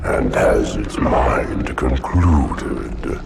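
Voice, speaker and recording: A man playing a colossal, ancient alien hive-mind monster in a video game, with a guttural growl and slow, grandiose, menacing oratory. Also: very deep voice, lively intonation, gravelly, roomy sound, heavily acted. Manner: slow, portentous, riddling oratory. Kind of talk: video game cutscene acting. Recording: distant voice under loud music.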